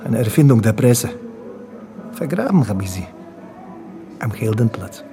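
A haunted, low-voiced war refugee. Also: male voice, expressive, measured pace. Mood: agitated, anguished. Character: haunted Bosnian war refugee confessing, former jazz trumpeter. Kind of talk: German radio drama acting. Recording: music behind the voice.

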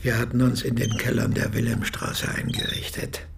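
A man's voice: mid-range voice, level tone, vocal fry, background noise. An actor playing an 86-year-old former army medic who recounts his memories as a solemn, precise eyewitness in a radio play. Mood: measured, recollecting. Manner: measured, precise, formal storytelling. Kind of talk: radio play monologue, reminiscence.

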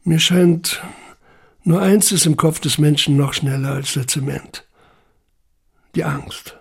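A man's voice: fairly high pitch, slow pace, vocal fry, breathy edge. A man delivering scripted first-person literary narration in an intense, brooding manner.

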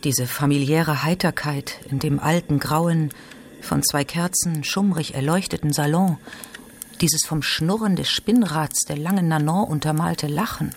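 An actor playing a reflective literary narrator in a radio drama, reading scripted commentary. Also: male voice, a little high, unhurried, slight background noise.

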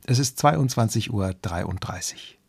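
A man's voice: lowish voice, lively intonation, measured pace, gravelly, husky, dry recording. A man reading a scripted announcement as a radio announcer. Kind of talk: scripted weather and time announcement.

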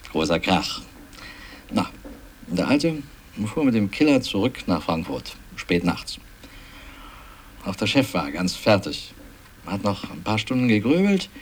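Earnest film company employee, low voice, hesitant, rambling, interview-style radio play, noisy ambient tape. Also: male voice, darkish tone. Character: male film company employee earnestly recounting his boss's project. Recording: clearly noisy mock field tape.

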